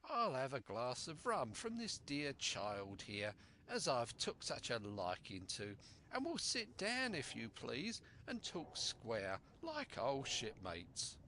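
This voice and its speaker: A man's voice: mid-range voice, wildly swooping pitch, slow pace, pushed and bright, a little hiss or hum, heavily acted. A man voicing a sly, falsely friendly pirate seaman in sing-song rustic sailor's speech for a storybook. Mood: falsely jolly.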